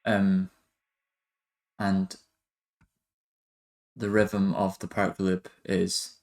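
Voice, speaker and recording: Male tutorial host, low register, very even delivery, halting and informal, working through steps aloud. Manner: hesitant, halting, thinking aloud. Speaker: music production tutorial host. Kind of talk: casual software tutorial narration.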